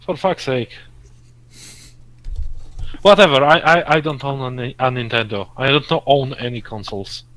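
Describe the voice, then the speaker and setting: Bright-voiced man with a Russian accent. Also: mid-range voice, no room sound, poor audio. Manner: halting, repeats words, swears casually. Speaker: podcast co-host. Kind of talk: casual gaming podcast chat.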